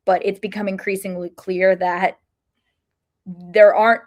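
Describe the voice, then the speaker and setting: A woman's voice: medium pitch, level tone, unhurried, darkish tone. A woman speaks as a sports podcast co-host. Her delivery is casual and thoughtful, with an ordinary mid-range voice and frequent self-corrections as she works through her analysis.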